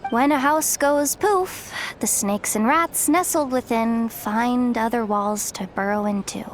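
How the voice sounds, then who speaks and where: An actress playing a blunt, world-weary young female guide in a fantasy game, curt, streetwise and deadpan. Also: fairly high pitch, a little slow, soft background music, natural voice. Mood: jaded, matter-of-fact. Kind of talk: game voice acting.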